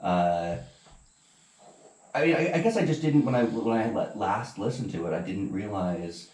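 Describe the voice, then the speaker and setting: A man's voice: on the low side, expressive, normal speed, darkish tone. A man asking casual interview questions, hesitant and often rephrasing as he goes. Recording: roomy echo, distant from mic.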